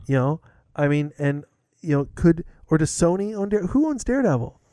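A man in his thirties hosting a podcast, chatting casually in excited bursts with lots of restarts. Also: fairly high pitch, normal speed, dry recording, studio quality. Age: older than co-host, roughly 30s. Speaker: podcast host. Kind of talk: casual chat.

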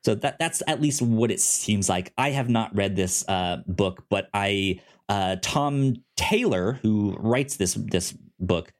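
A man co-hosting a casual review podcast, talking informally and haltingly, often stuttering and repeating syllables. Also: on the low side, lively intonation. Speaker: podcast co-host. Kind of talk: casual review show chat.

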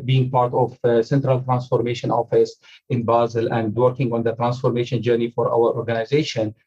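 A man's voice: medium pitch, mellow, slightly rough, highs cut off. A man in his forties from Syria with an Arabic accent, talking earnestly and at length as a polite podcast guest. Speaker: podcast guest, agile coach. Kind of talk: reflective interview talk.

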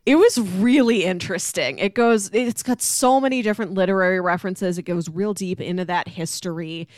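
A woman hosts a relaxed pop culture podcast in a chatty, enthusiastic storytelling way. Her voice sits at a middling pitch, recorded dry with very little room around it. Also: brisk, no echo. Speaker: podcast host. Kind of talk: casual pop culture discussion.

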